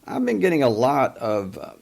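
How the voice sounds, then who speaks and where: A man in his fifties who stutters and stammers, hosting a call-in show in a casual monologue, often pausing to search for words. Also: medium pitch, huge pitch swings, creaky, a little mellow. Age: 50s. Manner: many pauses and hesitations.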